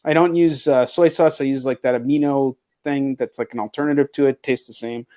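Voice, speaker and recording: A man's voice: medium pitch, steady tone, fairly quick, dark tone. A man chatting casually and candidly as a podcast host, rambling and swearing freely. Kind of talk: casual chat.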